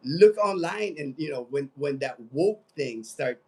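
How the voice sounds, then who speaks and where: American male livestream host, late 50s, slightly high-pitched voice, loose conversational delivery that wanders and circles back. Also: expressive, unhurried, darkish tone, husky. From United States. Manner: rambling, conversational, repeats phrases. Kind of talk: casual livestream chat, reading out viewer comments.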